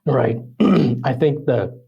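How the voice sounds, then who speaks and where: A man in his forties with a French-Canadian accent, a podcast guest telling his story in a relaxed, casual way with many ums and pauses. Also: mid-range voice, creaky, breathy edge, lo-fi sound. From Ottawa, Canada. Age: 40s.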